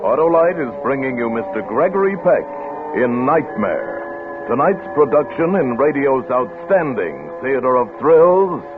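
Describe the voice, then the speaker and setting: A man's voice: mid-range voice, big pitch swings, a little slow, phone-quality sound. A man announcing a thriller programme in a dramatic, scripted 1950s radio style. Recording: thin vintage radio broadcast recording. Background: music.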